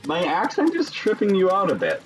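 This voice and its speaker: A young man from Chicago with a Chicago accent, chatting casually with viewers during a game stream and musing between pauses. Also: high voice, wildly swooping pitch, soft background music, low-quality recording. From Chicago, Illinois. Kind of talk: casual live-stream chat while gaming.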